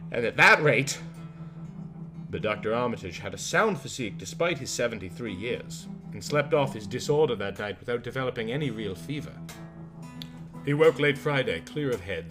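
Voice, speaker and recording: A man with a fairly low voice narrates a literary horror story in a scripted audiobook style. Plucked guitar music plays clearly behind his reading.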